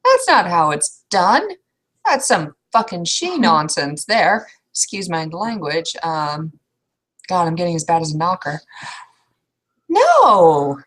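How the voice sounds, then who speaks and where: A woman's voice: lowish voice, very animated, slow, fairly bright tone, exaggerated voice. A woman playing a blunt, feisty troll woman in a tabletop roleplay, exclaiming and speaking her mind. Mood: indignant, incredulous.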